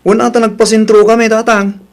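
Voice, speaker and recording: A man's voice: high-pitched, little pitch movement, fast talker. An actor playing an earnest, responsible young working man in a radio drama, speaking respectfully.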